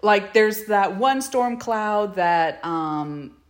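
A woman's voice: medium pitch, animated, very slow. Gushing woman chatting as podcast co-host. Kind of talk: casual fan chat.

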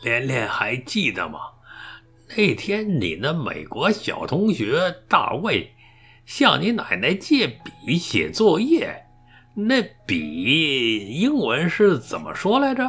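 A man acts a kindly old grandfather in a radio play. He is slow and husky, with big swooping intonation, teasing gently and explaining patiently. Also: medium pitch, wildly swooping pitch, soft background music, exaggerated voice. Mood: coaxing, teasing. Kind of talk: radio drama acting.

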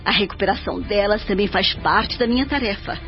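An actress as a serene older mother spirit from a radio drama, guiding her son with devotion. Her delivery is solemn, measured and didactic, at a medium pitch. The track is a little dull, with music underneath. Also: close to an everyday voice. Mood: solemn, tender.

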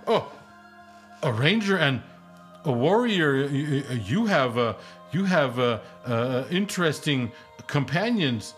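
A man's voice: fairly high pitch, animated, slow, soft background music. A man voicing a nervous teenage boy guarding a town gate, stammering and flustered. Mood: apologetic.

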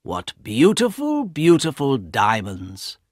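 A cold, greedy young man, voiced by a male narrator, drawling his words slowly and mockingly. He sounds smug and sure of himself throughout. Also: medium pitch, huge pitch swings, no echo, studio quality, exaggerated voice. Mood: gloating. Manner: slow and taunting.

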